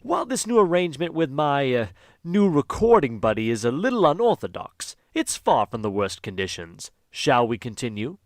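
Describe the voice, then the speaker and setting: A man's voice: medium pitch, sing-song, moderate pace, no echo, studio quality. Man playing a theatrical, wildly swooping ghostly narrator. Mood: sly welcome. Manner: theatrical, wry, mocking. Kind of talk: audio drama narration and character acting.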